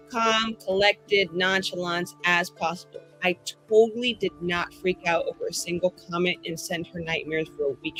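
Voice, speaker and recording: A woman's voice: lowish voice, fairly bright tone, poor audio. A woman playing in a tabletop game stream, introducing her character in a cheeky, boastful, sweary way. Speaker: player in an actual-play game. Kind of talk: casual chat, character introduction.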